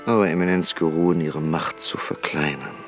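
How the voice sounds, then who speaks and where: An actor playing an old, cautious parliamentarian professor in a radio drama, formal and hesitant with frequent pauses. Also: male voice, very deep voice, soft background music. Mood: earnest. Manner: formal, hesitant with ähs, pleading. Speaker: parliamentary deputy.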